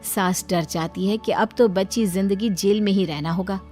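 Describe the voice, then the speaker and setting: A woman narrating a scripted Hindi story in a dramatic, expressive way. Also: mid-range voice, moderate pace. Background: background music.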